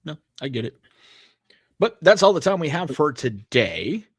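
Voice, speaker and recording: A man co-hosting a podcast, chatting casually in a measured, hesitant way and qualifying his opinions. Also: on the high side, big pitch swings, breathy edge, no echo. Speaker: podcast co-host. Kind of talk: casual chat about comics and films.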